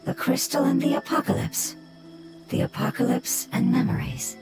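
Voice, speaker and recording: A woman voicing a calm, wise fungal creature who speaks slowly and solemnly for its family, with loud game music behind. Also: high voice. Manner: measured, solemn, philosophical.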